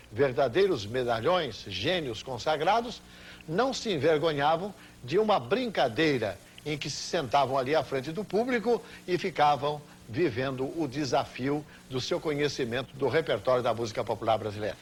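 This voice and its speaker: Brazilian man presenting over tape hiss. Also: on the high side. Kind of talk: eloquent commentary. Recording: hiss and hum, old TV tape.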